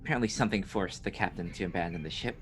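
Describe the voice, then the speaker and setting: A man's voice: deep voice, very fast, husky. A man playing a tabletop roleplaying game, talking through a situation in character with the group.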